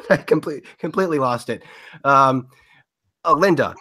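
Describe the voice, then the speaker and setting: A man hosts a casual podcast interview, his voice nasal as he gets over a cold. He talks hesitantly with lots of ums and uhs, laughing easily, at an ordinary pitch for a man. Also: normal speed, sharp tone. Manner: hesitant, filler-heavy, giggly. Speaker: podcast host.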